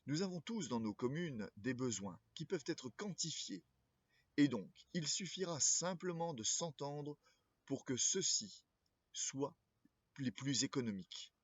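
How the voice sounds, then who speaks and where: Husky-voiced man giving a formal political address. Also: medium pitch, lively intonation, a little slow, dry recording, lo-fi sound. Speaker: local political candidate. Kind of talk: scripted political address read to camera.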